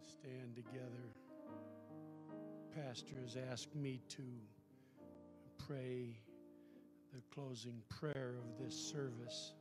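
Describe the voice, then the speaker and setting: An older man talks slowly and haltingly into a handheld mic, reflective and unhurried. Loud guitar and piano play beneath his voice in the church sanctuary. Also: medium pitch, steady tone. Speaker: minister leading the closing prayer. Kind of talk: reflective talk before prayer. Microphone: handheld microphone. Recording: loud music under the voice.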